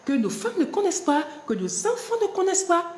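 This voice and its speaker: A woman's voice: extremely high pitch, very animated, a little fast, darkish tone, echoey room. A woman preaching a devotional sermon with a cold, laughing often and restarting her sentences. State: has a cold.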